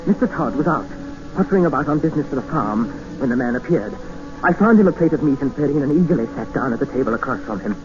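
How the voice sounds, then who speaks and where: An actor playing an educated English captive, narrating and speaking in an earnest, formal, dramatic 1950s radio style. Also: male voice, medium pitch, lively intonation, fast talker, lightly acted. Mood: grave, reflective. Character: desperate, educated male English captive expedition member, narrating. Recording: muffled old radio recording with music.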